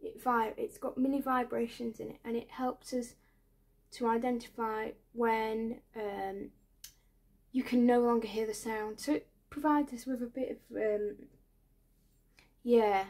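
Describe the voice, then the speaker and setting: A woman in her twenties plays a doctor in a soft-spoken ASMR roleplay. Her voice sits a bit high and she talks very slowly, with hesitant pauses and a reassuring way of explaining things. She is close to the mic in a small indoor room. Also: steady tone. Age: 20s. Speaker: ASMR creator playing an ear doctor.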